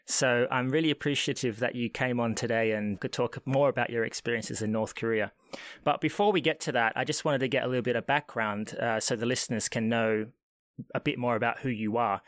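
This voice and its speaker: A man's voice: mid-range voice, fairly even intonation, a little fast, a bit bright, dry recording, clean studio sound. A man hosting a travel podcast, reading an upbeat scripted intro and then chatting with his guest from home during lockdown. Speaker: podcast host.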